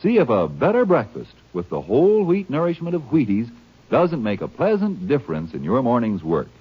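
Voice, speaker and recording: Male American announcer, vintage radio ad, hugely animated pitch, slightly husky, persuasive, muffled recording. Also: normal speed. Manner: emphatic, persuasive. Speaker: commercial announcer. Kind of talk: 1950s radio advertisement read.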